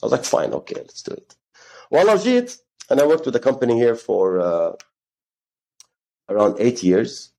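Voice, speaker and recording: A man's voice: mid-range voice, wildly swooping pitch, brisk, creaky, long pauses, dark tone. A man in his thirties, from Ghana, telling his story as an interview guest in a rambling way, with Arabic phrases mixed into his English. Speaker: guest, gym co-founder.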